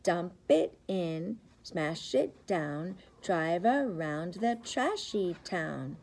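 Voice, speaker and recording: A middle-aged woman, a preschool teacher, reads a picture book aloud to young children with expressive, rhythmic, sing-song phrasing. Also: lowish voice, drawn-out words, slight background noise. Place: indoors, school classroom. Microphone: device camera at about arm's length.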